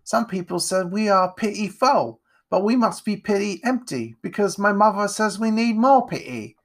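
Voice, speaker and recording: A man voicing a poor, eager young boy with rough, common speech in a storybook reading. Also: high-pitched, expressive, normal speed, fairly bright tone, pitched above the performer's own voice, full character voice.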